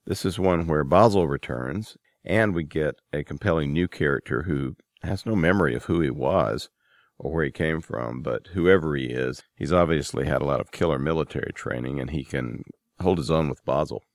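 Male podcast host, extremely low-pitched, casual and conversational, recorded dry. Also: normal speed, no room sound. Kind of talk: conversational podcast announcing and interviewing.

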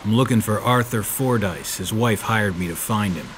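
A man playing a big, tough American ex-convict private investigator in a hardboiled period audio drama, calm, understated and deadpan. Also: on the low side, moderate pace, a little hiss or hum, natural voice. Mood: businesslike.

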